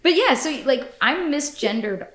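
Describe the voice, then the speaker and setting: A woman's voice: high-pitched, lively intonation, normal speed, fairly bright tone. A woman hosting a podcast in casual chat, blunt and profane, laughing often. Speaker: podcast host. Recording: echoey room.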